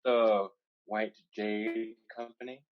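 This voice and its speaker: A man playing a shrewd, authoritative pirate crew leader, speaking in measured tones in a staged play reading. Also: lowish voice, little pitch movement. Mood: shrewd, smooth. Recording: slightly dull, lo-fi audio.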